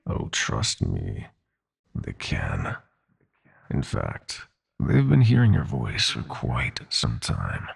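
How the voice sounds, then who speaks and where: A man playing a smug, sadistic demon prince of nightmares in an audio roleplay, with a husky, gravelly voice and a mock-polite, taunting drawl. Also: very deep voice, huge pitch swings, slow pace, heavily acted. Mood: gloating menace. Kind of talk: audio roleplay villain acting.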